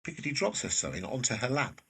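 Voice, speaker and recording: A narrator with a very husky low voice reading scripted stage action for an audio drama, slightly distant from the mic. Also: big pitch swings, fast, bright, forceful tone.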